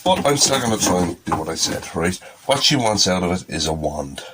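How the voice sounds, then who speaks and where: A man's voice: sits low, sing-song, a little fast. An Irish man with a head cold, talking plainly as he works in his woodturning workshop. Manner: plain-spoken, matter-of-fact. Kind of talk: workshop narration. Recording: workshop noise behind voice.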